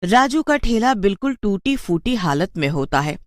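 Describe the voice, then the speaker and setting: A narrator reading a scripted cartoon story in an expressive storytelling manner. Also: medium pitch, moderate pace, dry recording, clean studio sound.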